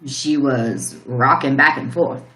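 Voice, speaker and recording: Woman acting as country farmer, low pitch, rambling folksy delivery, radio play, roomy echo. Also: slow pace, a bit bright, heavily acted. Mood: folksy, puzzled. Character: plain-spoken rural male farmer recounting a shock. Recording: roomy, echoing sound.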